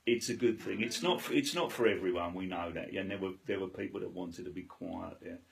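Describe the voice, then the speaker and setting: A man telling a story with humour in a loud, chirpy way, as the guest in an interview. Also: low-pitched, brisk, gravelly. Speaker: interviewee, cancer patient.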